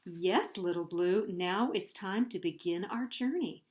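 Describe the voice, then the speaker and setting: A woman voicing a gentle father whale in a storybook, patient and reassuring as he explains. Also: lowish voice, a little slow, natural voice.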